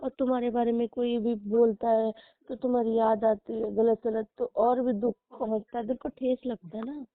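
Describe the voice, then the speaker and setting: A woman's voice: on the high side, level tone, normal speed, dry recording. A young woman confiding plaintively in a casual, intimate phone conversation. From rural Uttar Pradesh, India. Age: young adult. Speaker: girlfriend on a personal phone call. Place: phone call recording. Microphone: telephone. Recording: narrow tinny phone line, dry.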